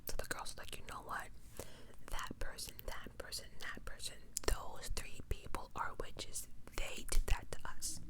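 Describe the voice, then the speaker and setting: ASMR host for true crime storytelling, pure unvoiced whisper throughout, conversational and emphatic, with informal asides. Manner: conversational, emphatic, repeats points for effect.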